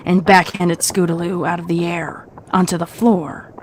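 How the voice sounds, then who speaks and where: A woman's voice: fairly low pitch, flat delivery, normal speed, noisy background. A woman giving a dramatic, scripted narration of a horror story.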